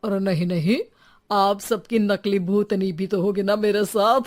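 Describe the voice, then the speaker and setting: A woman's voice: mid-range voice, fairly even intonation, measured pace, darkish tone, lightly acted. A woman voicing a sceptical, joking, matter-of-fact man in a cartoon story. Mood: teasing. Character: sceptical, teasing neighbourhood man, reasoning calmly. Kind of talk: Hindi cartoon story acting.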